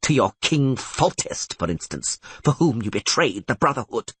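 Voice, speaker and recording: Man voicing a husky, stern older archmistress. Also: medium pitch, sing-song, normal speed, fairly bright tone, dry recording. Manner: formal, proclamatory speech. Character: stern older female archmage, imperious and commanding. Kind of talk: audiobook character voice.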